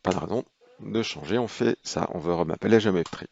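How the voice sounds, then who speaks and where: A man calmly walking through a software procedure in a tutorial, pausing often with hesitations as he explains each step. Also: low-pitched, creaky, dull audio. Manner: unhurried, explanatory, frequent hesitations. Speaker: software trainer. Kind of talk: screen-recorded software tutorial.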